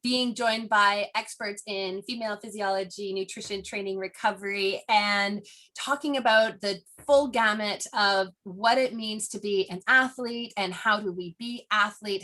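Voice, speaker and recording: Woman host, mid-pitched, enthusiastic, chatty interviewer. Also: bright, forceful tone. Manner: eager, many fillers and restarts. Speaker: podcast host.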